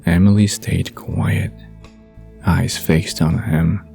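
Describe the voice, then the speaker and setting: A man narrating a scripted bedtime story in a soft, dreamy manner. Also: low voice, fairly even intonation, slow pace, vocal fry, soft background music.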